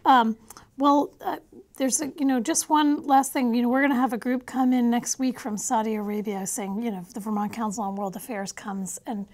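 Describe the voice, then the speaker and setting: A woman's voice: a little high, steady tone, moderate pace, darkish tone. A woman in her fifties hosting a studio interview, asking casual, loosely phrased questions. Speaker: interview host. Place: small TV studio. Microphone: lapel mic.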